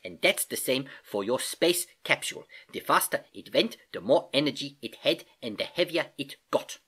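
A storybook narrator voicing an elderly, jovial uncle who eagerly and patiently explains science, with animated exclamations and hesitations. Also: mid-range voice, normal speed, slightly rough, pitched above the performer's own voice. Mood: emphatic explaining. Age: older.

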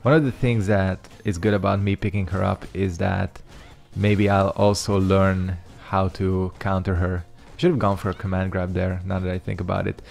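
A man's voice: deep voice, flat delivery, normal speed, vocal fry, hardly any pauses, a little mellow, soft background music. Laid-back young man commentating his gameplay. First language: Hungarian. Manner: rambling, loose slang, frequent fillers. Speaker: gaming channel host. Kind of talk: casual commentary over gameplay.

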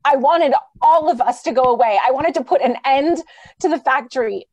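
An actress playing a remorseful, pleading idealistic wife in old-time radio drama style. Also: female voice, high voice, moderate pace, darkish tone, dry recording, lightly acted, poor audio. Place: indoors, on a video call.

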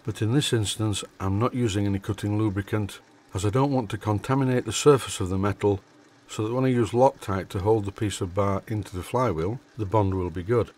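Low-voiced Yorkshire man, steady voice-over. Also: fairly quick. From Yorkshire, England. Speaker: hobby machinist presenter. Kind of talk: scripted workshop voice-over.

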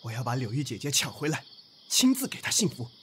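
A man's voice: mid-range voice, sing-song, fast, sharp tone, a little hiss or hum. An actor in an audio drama playing a naive, proud young swordsman from the ancient past, earnest and courtly in his wording. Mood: defiant.

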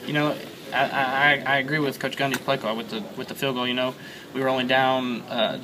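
A young man, a college quarterback, answering reporters after a game, halting with many fillers and repeated words, recorded on handheld mics and phones held close. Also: medium pitch, steady tone, noisy background. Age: young adult. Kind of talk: postgame media scrum. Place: crowded locker-room interview area, indoors. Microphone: several handheld mics and phones held close. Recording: busy crowd chatter behind.

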